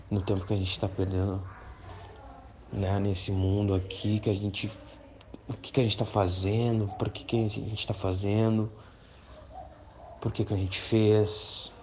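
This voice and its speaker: A man answering an interview in a reflective, hesitant way, pausing and restarting often. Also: on the low side, darkish tone, slight background noise. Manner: reflective, hesitant, many fillers.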